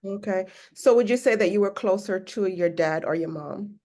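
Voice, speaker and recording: A woman's voice: medium pitch, moderate pace. A woman hosting a podcast interview, encouraging and speaking of God's grace. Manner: warm and encouraging, religious phrasing. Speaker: podcast host.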